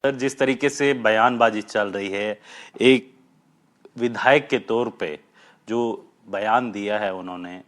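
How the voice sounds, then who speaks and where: Lower-pitched male field reporter. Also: slow pace, fairly bright tone. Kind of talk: on-location news reporting.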